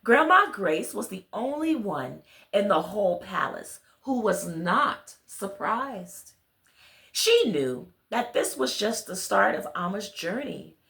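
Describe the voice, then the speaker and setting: A woman reading a children's picture book aloud, expressive, speaking directly to her young listeners. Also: medium pitch, slow pace, gravelly, roomy sound. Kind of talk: children's read-along with friendly asides to listeners.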